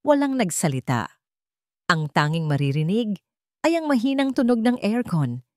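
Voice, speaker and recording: A woman's voice: mid-range voice, sing-song, moderate pace, dark tone, no echo. A woman reading a scripted romantic drama story as its narrator.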